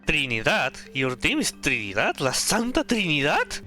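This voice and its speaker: A man's voice: fairly high pitch, wildly swooping pitch, normal speed, pushed and bright, soft background music. A man doing casual, playful game commentary as a streamer, repeating words as he reacts. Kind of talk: casual playthrough commentary, reading some dialogue aloud.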